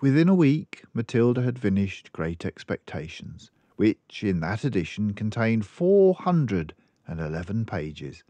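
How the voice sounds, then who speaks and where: An older man narrating a children's book expressively, with measured and deliberate phrasing. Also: fairly low pitch, sing-song, dark tone, no room sound. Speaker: storyteller.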